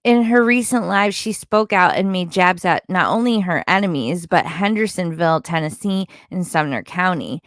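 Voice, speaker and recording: A woman's voice: fairly low pitch, expressive, moderate pace, few pauses, no echo, studio quality. A woman delivering opinionated, partly scripted recap commentary in a critical, disapproving manner, like an online content creator.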